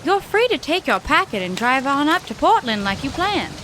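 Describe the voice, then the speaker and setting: A woman's voice: high voice, very animated, moderate pace, fairly bright tone. An actress playing a tough, plainspoken, impatient young woman in an audio drama, brusque and sardonic with wisecracks. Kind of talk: audio drama acting in old-time radio manner. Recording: noisy boat engine and storm ambience.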